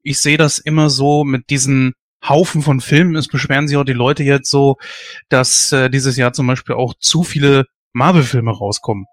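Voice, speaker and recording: A man's voice: mid-range voice, steady tone, moderate pace, no room sound. A man in his late thirties hosting a casual discussion, giving strong opinions emphatically and working himself up into a rant. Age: 30s.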